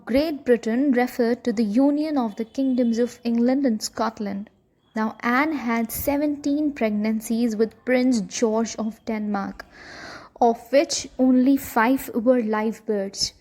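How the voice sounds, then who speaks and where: A woman's voice: on the high side, dark tone. A woman reading scripted educational narration for a short history film.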